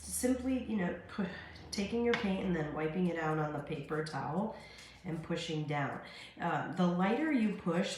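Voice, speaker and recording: A woman in her forties, a little stuffed up, chatting her way through a casual craft tutorial at her kitchen counter, full of fillers and asides. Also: deep voice. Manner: chatty, filler-heavy, friendly how-to talk. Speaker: craft demo host. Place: home kitchen, indoors. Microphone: phone camera on a stand at the counter. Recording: echoey kitchen with faint ambient noise.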